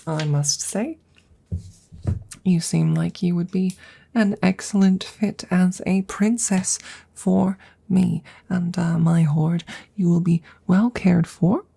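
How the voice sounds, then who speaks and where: An ancient female dragon , acted by a woman, speaks in a very breathy, husky voice that often sinks to a whisper. Her delivery is slow and low, with gravelly creak at the edges. She is courtly and wordy but faintly awkward, hesitating through her formal phrases in an intimate ASMR roleplay. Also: mellow, heavily acted. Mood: pleased, tender. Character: courtly, awkward centuries-old female dragon in human guise. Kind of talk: soft-spoken ASMR roleplay.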